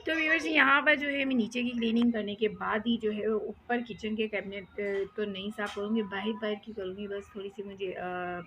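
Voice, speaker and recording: A woman's voice: medium pitch, fairly even intonation, a little fast, few pauses, pushed and bright, a little hiss or hum. A young woman chatting casually as she vlogs her housework at home, rambling with many fillers. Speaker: vlogger. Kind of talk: casual home vlog narration.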